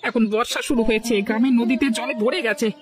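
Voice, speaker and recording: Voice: medium pitch, fairly even intonation, fast, over music, lightly acted. An actor voicing an aggrieved, devoted male villager who keeps a buffalo, in a cartoon folk tale, earnest and plaintive. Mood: indignant, defensive.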